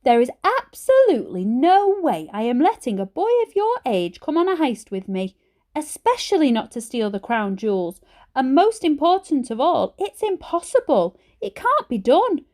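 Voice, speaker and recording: A woman's voice: sits high, sing-song, moderate pace, darkish tone, pitched above the performer's own voice. A woman voicing a stern but fond old granny in a storybook, with an emphatic, scolding manner. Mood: firm, exasperated.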